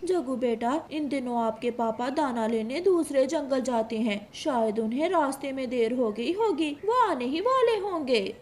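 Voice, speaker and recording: A woman voicing a caring, anxious crow wife and mother in a cartoon story, with a gently pleading manner. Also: sits high, expressive, measured pace, hardly any pauses, a little hiss or hum. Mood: concerned. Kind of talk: Hindi cartoon storybook acting.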